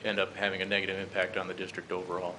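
Flat, low-voiced American man briefing a council. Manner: halting, measured. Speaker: presenter to a city council. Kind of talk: formal public briefing. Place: council chamber, standing at a podium. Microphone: podium gooseneck microphone. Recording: clearly noisy meeting-room recording.

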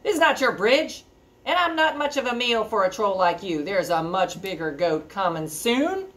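Woman doing a bold, high-pitched middle goat. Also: expressive, moderate pace, fairly bright tone, a little hiss or hum, lightly acted. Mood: defiant. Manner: firm, demanding. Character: bold middle-sized male goat standing up to bullies. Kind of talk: children's storybook read-aloud.

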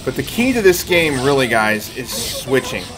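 A man's voice: mid-range voice, sing-song, normal speed, very noisy. A middle-aged man giving casual, explanatory live commentary to viewers as he plays a video game. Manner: explanatory, talking through play to viewers. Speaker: gaming streamer. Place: indoor room at home. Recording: game music behind the voice. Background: video game music.

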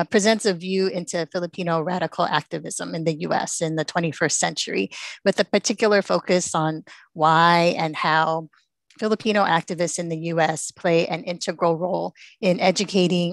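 A woman in her forties talks earnestly from her living room, with a scattering of "uh" and "um." Her voice is a little low for a woman. A headset mic gives it a dry, close sound. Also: dry recording. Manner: earnest, with frequent fillers. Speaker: panelist, organizer. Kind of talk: speaking at a panel.

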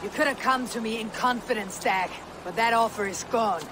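An actor playing a resolute, solemn Viking clan leader in a video game, grave and emphatic. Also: male voice, sits high, normal speed, background noise, natural voice. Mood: stern. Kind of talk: dramatic video game cutscene acting.

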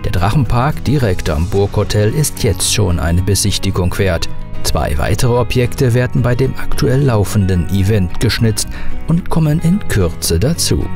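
A man's voice: on the low side, big pitch swings, measured pace, music in the background. A man reading a scripted documentary voice-over with a light, wry touch. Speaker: narrator.

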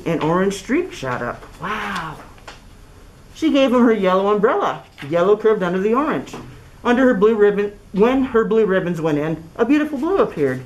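A middle-aged woman reading a children's story aloud through a cloth mask in an expressive, deliberate way. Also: medium pitch, rough recording. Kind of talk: read-aloud storybook narration. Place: small indoor classroom. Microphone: camera a few feet away, speaking through cloth mask.

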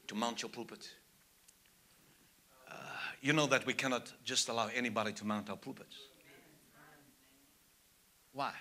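An older man from South Africa, a native Afrikaans speaker with a South African accent, preaching from a church pulpit, moving from soft asides to loud, emphatic preaching. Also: mid-range voice. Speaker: evangelist. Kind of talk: sermon. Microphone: pulpit mic, medium camera.

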